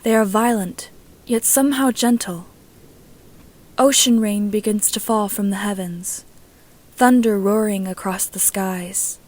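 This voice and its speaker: Female amateur narrator for audio fiction, mid-pitched, reading scripted prose. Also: steady tone, unhurried, a little mellow. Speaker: amateur podfic narrator reading own story.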